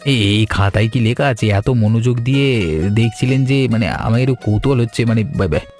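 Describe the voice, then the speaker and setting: A man playing a curious, courteous young man in a cartoon, with an inquisitive, slightly halting way of talking. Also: mid-range voice, darkish tone, natural voice. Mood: curious, polite. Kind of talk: cartoon voice acting. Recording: music under the voice.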